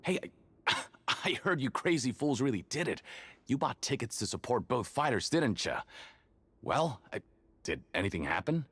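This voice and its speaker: A rough, chummy game character, with a husky, mid-pitched voice. He talks in a teasing, casual way with lively swings, in a dry recording. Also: normal speed, long pauses, dry recording, full character voice. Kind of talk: video game voice acting.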